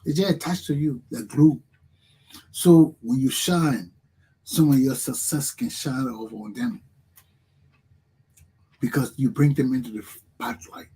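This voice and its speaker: Liberian man with a husky voice. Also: medium pitch, unhurried, pauses often, mellow, poor audio. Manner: preachy, repetitive, emphatic. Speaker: talk show host. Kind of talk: motivational talk show monologue.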